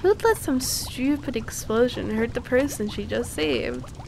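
A woman playing a cute, kind and teasing young alchemist girl in an ASMR roleplay, speaking softly and soothingly close to the mic. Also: fairly high pitch, animated, gravelly, noisy background. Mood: reassuring, playful. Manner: soft, soothing, unhurried, playfully reassuring.

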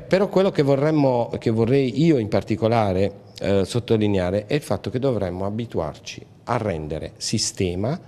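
A man's voice: fairly low pitch, dark tone. A middle-aged man, a producer talking casually into a handheld mic, joking and rambling with many fillers. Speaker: show producer. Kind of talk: casual interview talk. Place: indoor hall. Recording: reverberant hall.

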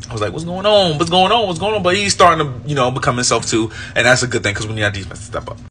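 A young man giving a casual, animated post-game review as a sports fan, rambling with frequent restarts. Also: medium pitch, very fast, a little hiss or hum.

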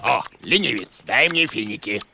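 An actor playing a bossy, hot-tempered, bumbling monster teacher in a children's educational cartoon, theatrical and grumbling. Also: male voice, fairly low pitch, lively intonation, moderate pace, gravelly, very noisy.

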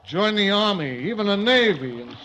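An actor playing a brash, bitter, boozy American sailor in an old radio drama, with rough slangy talk. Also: male voice, high-pitched, very animated, moderate pace, exaggerated voice. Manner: slangy, rough, loud-talking. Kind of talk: 1940s-50s radio drama acting. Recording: noisy muffled old radio recording, water sounds.

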